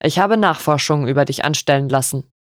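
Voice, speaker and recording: A female narrator puts on a stern older lord from a novel. The voice drops low and stays measured and formal with a sharp authority, recorded close and dry. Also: no echo, natural voice. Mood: grave. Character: stern, stony-faced older English lord, father.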